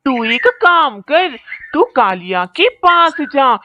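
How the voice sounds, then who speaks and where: A woman's voice: high-pitched, very animated, slow pace, full character voice. A woman voicing a plain-spoken female kite bird seller in a children's cartoon, on a muffled, noisy recording. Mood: matter-of-fact. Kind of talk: children's cartoon voice acting in Hindi.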